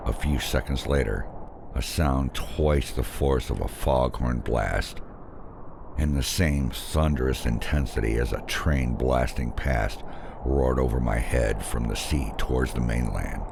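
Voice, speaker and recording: A man narrating a scripted first-person horror story in an ominous, deliberate way. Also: extremely low pitch, gravelly, background noise.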